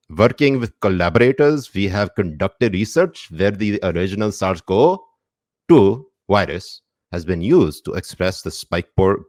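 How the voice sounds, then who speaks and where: A man's voice: fairly low pitch, a little mellow, no room sound, studio quality. A man reading a corporate statement in a put-on comic accent, with pompous mock-formality. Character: mock Pakistani-accented press-release reader, man.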